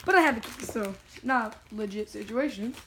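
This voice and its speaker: A young vlogger with a hoarse, worn voice, chatting and joking casually while unboxing. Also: medium pitch, a little fast, pushed and bright, a little hiss or hum. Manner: chatty, jokey, self-talking. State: hoarse, lost voice.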